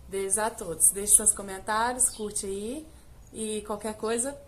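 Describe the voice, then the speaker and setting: A woman's voice: medium pitch, fairly even intonation, measured pace, a little hiss or hum. A young woman from Brazil, an English teacher, telling her story in a casual vlog with lots of fillers, speaking straight to her viewers. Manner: chatty, direct address with many fillers.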